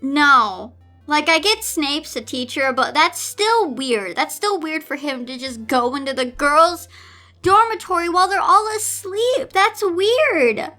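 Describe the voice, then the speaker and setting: High, bright-voiced woman doing a theatrical read-aloud. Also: lively intonation, a little slow, soft background music. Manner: theatrical, incredulous, sarcastic asides. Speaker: host reading fan fiction aloud with commentary. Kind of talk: dramatic read-aloud with exasperated commentary.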